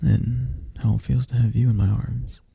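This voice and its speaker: A man voicing a shy, affectionate best friend in an ASMR roleplay, speaking softly and stammering with nerves. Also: mid-range voice, flat delivery, moderate pace, vocal fry, breathy edge, dry recording, exaggerated voice. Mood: tender. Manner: soft-spoken, stammering, gentle.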